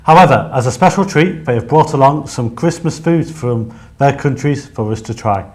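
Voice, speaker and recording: Male co-host, mid pitch, upbeat and encouraging. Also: moderate pace, a little mellow. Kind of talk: studio chat show hosting.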